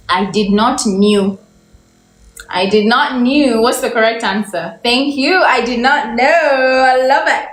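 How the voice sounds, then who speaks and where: A young woman from Uganda teaching English on a livestream. She stretches out her example sentences and keeps asking viewers for the correct answer. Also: medium pitch. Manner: slow, drawn-out example sentences, repeated questions to viewers. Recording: echoey room, faint background noise.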